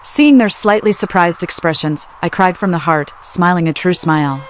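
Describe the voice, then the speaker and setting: A woman reading a first-person story aloud, soft and wistful, with music behind her. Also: on the low side, moderate pace, slight background noise. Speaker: audiobook narrator. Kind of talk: scripted first-person narration.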